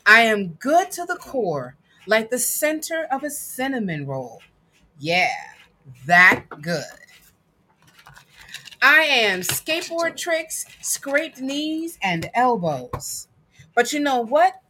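A woman reading a picture book aloud with emphatic, dramatic phrasing and deliberate pauses. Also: on the high side, sing-song, sharp tone, slight background noise. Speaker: guest reader and producer.

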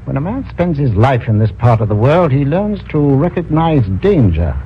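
A man playing a lively-voiced, wary old colonel. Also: medium pitch, slight background noise, close to an everyday voice. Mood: earnest. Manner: earnest, measured warnings. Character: older male colonial army colonel, cautious. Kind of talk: 1950s radio drama acting. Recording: dull lo-fi old radio recording.

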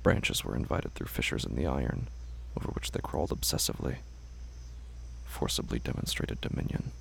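Man, deep voice, heavy fry, hushed brooding horror narration, deliberate phrasing, faint background noise. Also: lively intonation. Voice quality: gravelly. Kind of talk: scripted horror story narration.

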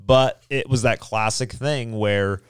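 An American man in casual conversation, speaking in an animated, exasperated way with mild slang. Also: medium pitch, slow, smooth, dry recording, studio quality. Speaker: talk show panelist. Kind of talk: casual group chat.

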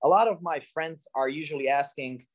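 A man's voice: a little high, a little fast. Joking young Slovak man, chatty guest. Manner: joking, animated storyteller. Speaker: guest, comedy YouTuber. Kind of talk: casual podcast conversation.

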